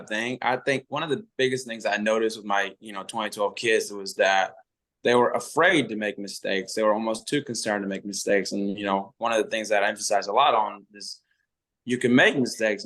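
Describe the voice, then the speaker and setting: Male youth coach, lowish voice, sincere and a little halting, on a video call. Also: fairly bright tone. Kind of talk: casual remarks on a video call.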